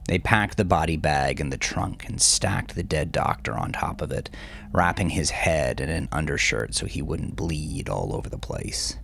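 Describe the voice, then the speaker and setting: A man's voice: extremely low pitch, expressive, normal speed, vocal fry, a bit bright, soft background music. A man reading scripted crime fiction as an audiobook narrator.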